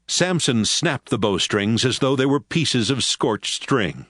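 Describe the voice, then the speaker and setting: A man's voice: medium pitch, animated, a little slow, a bit bright, no echo, clean studio sound. A man giving scripted narration for a Bible audio drama.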